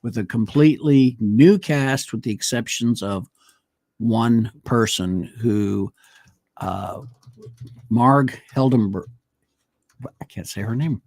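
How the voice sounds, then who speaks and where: A man's voice: medium pitch, slow pace, pauses often, a little mellow, no echo. Hesitant man, dry close mic. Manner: hesitant, many fillers, loses names. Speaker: co-host. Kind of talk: casual chat. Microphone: studio mic on a live stream.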